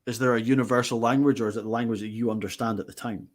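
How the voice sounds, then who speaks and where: A man's voice: mid-range voice, steady tone, rapid-fire. A man hosting a casual podcast, asking probing, skeptical questions. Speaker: podcast host.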